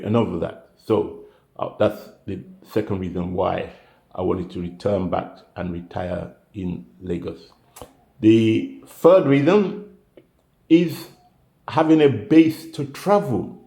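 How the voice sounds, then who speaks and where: A man's voice: fairly low pitch, warm tone, reverberant. An older man, a retiree vlogger, chatting casually to camera, rambling and correcting himself as he goes. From United Kingdom.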